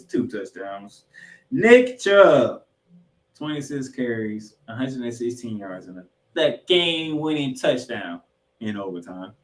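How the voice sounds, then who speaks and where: A man hosting a sports podcast, giving emphatic, opinionated commentary and game recaps. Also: mid-range voice. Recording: roomy, echoing voice pickup.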